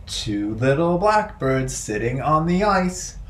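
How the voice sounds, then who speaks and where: Slow-paced man hosting children's storytime, lively pitch. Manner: playful, simple, deliberate phrasing for small children. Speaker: children's library storytime host. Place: bright indoor room with wooden floor.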